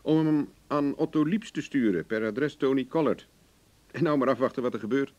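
An actor playing a cocky, wry, hard-drinking investigator in a radio play, speaking in a dry, self-assured way. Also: male voice, medium pitch, moderate pace, a little mellow, close to an everyday voice. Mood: smug, relaxed. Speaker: actor in the lead role. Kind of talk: Dutch radio play acting. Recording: dull, lo-fi old radio recording.

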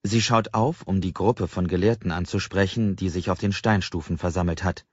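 A man with a fairly low voice narrates literary fiction. His delivery is expressive storytelling. The sound is dry, without room echo, and slightly dull. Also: normal speed, husky. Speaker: audiobook narrator.

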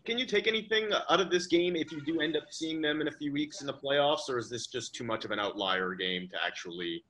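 A man's voice: a little high, brisk, bright, forceful tone, slight background noise. A man asking questions as a reporter at a press conference, restarting his phrasing as he goes.

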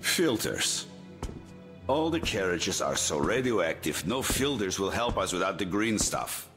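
A very low-voiced, gruff general in a game drama. He is world-weary and commanding, with swings in his delivery, heard in a long reverb over music. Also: big pitch swings, measured pace, a bit bright, heavily acted. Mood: grim, weary. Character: weary, hard-bitten male general, commanding and pragmatic. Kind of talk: dramatic video game dialogue.

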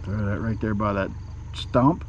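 A man chatting casually and excitably with a friend while fishing, playful, salty-tongued and apt to talk to the fish. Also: on the low side, a little slow. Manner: salty, swearing. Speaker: angler filming his own fishing outing. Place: outdoors on a boat on a lake. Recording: muffled lo-fi camera audio with outdoor noise.

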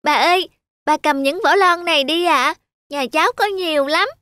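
A woman's voice: high-pitched, moderate pace, pitched above the performer's own voice. Ngân, a woman voicing a sweet little girl. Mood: eager. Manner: bright, polite, eager. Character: sweet curious little girl, kind-hearted. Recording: music bed under voice.

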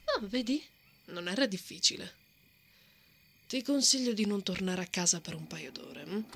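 A woman playing a brave, hot-tempered, bossy female knight in an ASMR roleplay, often breathy and muttering threats to herself. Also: mid-range voice, normal speed, fairly bright tone, a little hiss or hum. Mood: menacing. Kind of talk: ASMR roleplay, acted monologue. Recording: noticeable outdoor ambience effects behind.

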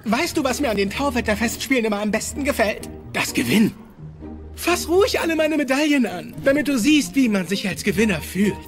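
Cocky, animated teenage Viking boy. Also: very high-pitched, fairly quick, soft background music. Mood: smug, taunting. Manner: smug, taunting, showing off. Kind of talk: animated-series radio play acting.

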